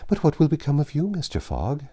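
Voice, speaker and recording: A man reads the part of a noble young woman from a classic novel, gentle and devoted. The pitch is raised and moves expressively, with a tender, pleading, courteous manner. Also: wildly swooping pitch, a little fast, slightly rough, heavily acted. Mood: concerned.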